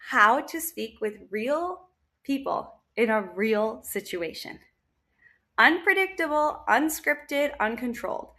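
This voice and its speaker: Bright, animated woman teacher. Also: sits high. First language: English. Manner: emphatic, often tags lines with "right?". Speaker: English teacher. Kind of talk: talking-head explainer to parents.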